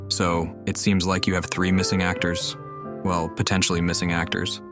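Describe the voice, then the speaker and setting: A man playing through a video game, musing aloud and commenting in a measured way. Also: low-pitched, level tone. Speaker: game streamer. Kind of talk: reading along and commenting on a game. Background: game music.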